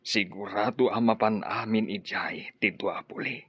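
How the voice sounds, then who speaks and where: A man acting as an elderly, doting village grandfather in a radio drama, with a husky voice and an Ilocano accent. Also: lowish voice, a little slow, dry recording. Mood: wistful. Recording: dull, muffled lo-fi audio.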